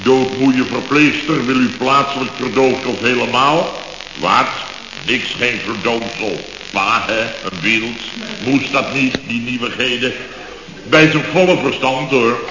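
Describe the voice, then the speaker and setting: An actor playing a grumbling, exasperated middle-aged contractor who rants sarcastically in clipped half-sentences in a radio comedy. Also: male voice, unhurried, reverberant, in a large hall, noisy background, lightly acted. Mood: sardonic. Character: grumbling, exasperated male building contractor, long-winded storyteller. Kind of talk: 1970s radio comedy acting. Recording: boomy, muffled old radio recording.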